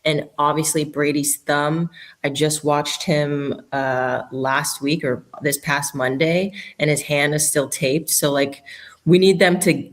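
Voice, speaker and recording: An American woman, a young adult, chatting upbeat as an interview guest, laughing often. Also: sits low. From United States. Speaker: hockey guest and former player. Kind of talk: casual interview chat.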